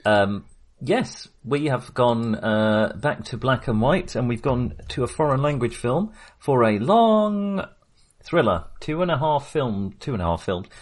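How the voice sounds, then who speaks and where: A man co-hosting a podcast, casually discussing films in halting, hesitant phrases. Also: medium pitch, very animated. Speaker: podcast co-host.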